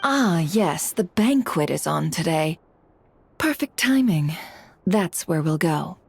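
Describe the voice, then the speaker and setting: A woman voicing a poised, sly boss in a video game, measured, teasing and formal in her speech. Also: deep voice, animated, gravelly, husky, no echo, full character voice. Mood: composed, shrewd.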